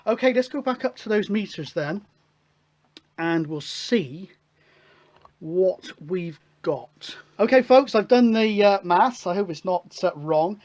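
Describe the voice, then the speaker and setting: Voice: mid-range voice, animated, takes it slowly, a little mellow. A hobbyist radio show host giving an informal hands-on technical demonstration, chatting casually and thinking aloud.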